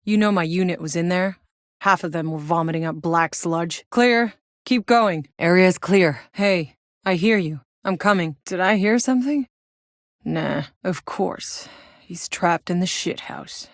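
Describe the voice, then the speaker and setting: A woman's voice: lowish voice, a little slow, no room sound, natural voice. An actress playing a tough, weary, sardonic female soldier in a sci-fi video game, blunt and dry in manner. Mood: businesslike, then brisk, alert, then irritated, sardonic. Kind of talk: scripted video game character dialogue.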